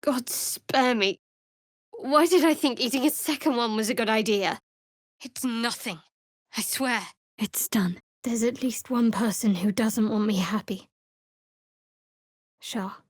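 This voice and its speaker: A woman voicing a guarded, dry-witted young female cleric of a dark goddess in a fantasy video game, with a sardonic way of talking. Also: fairly high pitch, a little slow, long pauses, no room sound. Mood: serious, resolute.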